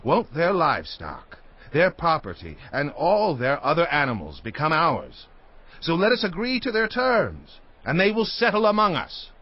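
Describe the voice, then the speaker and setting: A man's voice: a little high, wildly swooping pitch, moderate pace. An actor playing a persuasive leader addressing his townsmen in a dramatized scripture audiobook, in an oratorical manner. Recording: muffled, band-limited audio.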